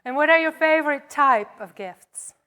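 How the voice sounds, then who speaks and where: A woman giving a conversational sermon to a congregation, speaking as a preacher in short, paused phrases. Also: sits high, pushed and bright.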